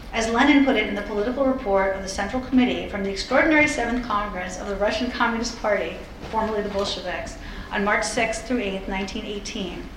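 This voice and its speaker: Female lecturer, mid-pitched and measured, reading prepared material articulately; roomy echo, faint noise behind. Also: a bit bright. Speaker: lecturer presenting a historical talk. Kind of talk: scripted history lecture, reading quotations.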